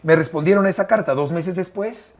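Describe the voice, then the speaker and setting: Expressive Mexican man in his fifties. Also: high-pitched, fairly quick, a loud crowd. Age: 50s. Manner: expressive, emphatic delivery with frequent "eh" fillers. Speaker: sports commentator guest. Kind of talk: conversational interview storytelling.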